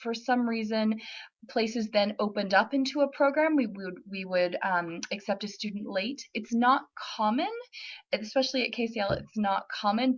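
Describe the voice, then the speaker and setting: A woman's voice: medium pitch, dull audio. A woman working as a university admissions representative, answering typed questions in a webinar in a friendly, informative way with frequent ums and uhs.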